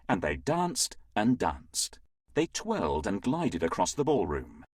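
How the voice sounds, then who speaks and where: A man reading a scripted children's fairytale as an expressive, dramatic storyteller. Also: on the low side, husky, no echo, studio quality.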